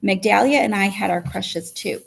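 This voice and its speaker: A woman narrating a first-person story as an audiobook reader, in an expressive, storytelling way. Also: medium pitch, moderate pace, creaky.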